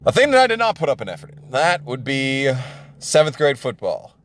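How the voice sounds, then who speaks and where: A man's voice: medium pitch, wildly swooping pitch, a little fast, fairly bright tone. A man in his twenties telling a personal story casually and comedically, with profane, exasperated ranting and emphatic repetition. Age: 20s. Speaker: storyteller on a gaming channel.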